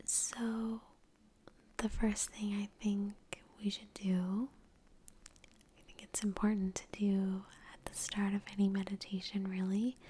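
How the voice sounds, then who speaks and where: A woman guides a meditation in a soft, breathy whisper. Her delivery is very slow and soothing, with long pauses. The tone is dark and mellow and stays nearly flat, right up close to the microphone. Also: medium pitch, slight background noise. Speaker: ASMR creator and meditation guide. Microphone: close microphone in front of the face.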